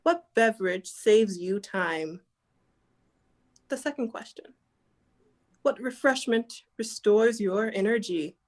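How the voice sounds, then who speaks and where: An agreeable female sidekick on an old radio show, played by an actress. She announces very slowly and formally, in a measured 1940s manner. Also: mid-range voice, lively intonation. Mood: agreeable, promotional. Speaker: co-host.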